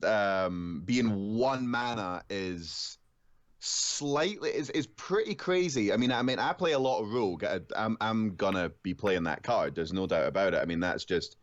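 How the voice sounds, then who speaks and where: Stammering low-voiced man on a dull call line. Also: expressive, unhurried. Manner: stammering, repeats words, loud voice. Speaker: podcast guest. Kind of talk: casual gaming chat.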